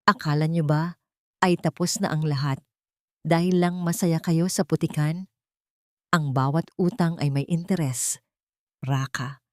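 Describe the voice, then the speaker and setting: A woman's voice: low voice, steady tone, measured pace, long pauses, a little mellow, dry recording, studio quality. A woman with a Filipino accent voicing a vengeful, threatening female villain in an audio drama, taunting and menacing. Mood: cold menace.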